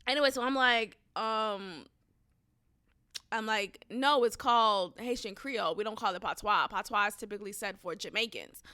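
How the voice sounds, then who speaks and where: A young woman hosting a podcast, telling a story with an animated, emphatic delivery and frequent swearing. Her voice sits a little high and sounds bright and forceful. The recording is dry and close. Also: smooth, no room sound. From United States. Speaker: podcast host. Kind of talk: casual storytelling.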